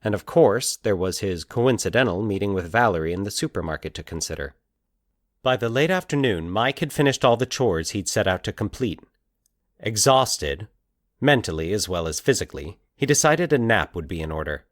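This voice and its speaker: A man narrating scripted eerie fiction in a measured, deliberate, formal storytelling manner. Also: on the low side, lively intonation, no room sound, studio quality.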